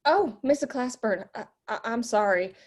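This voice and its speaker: A woman's voice: fairly high pitch, lively intonation, moderate pace, darkish tone. Woman reading a timid young snooping widow. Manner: hesitant, stammering. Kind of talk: screenplay table read.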